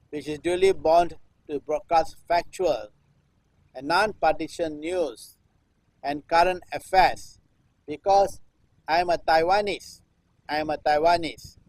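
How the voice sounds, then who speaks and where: A man recites a political text as performance art, in deliberate phrases with repeated, chant-like refrains. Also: a little high, a little mellow, no room sound, low-quality recording. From Singapore. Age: 60s. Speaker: performance artist.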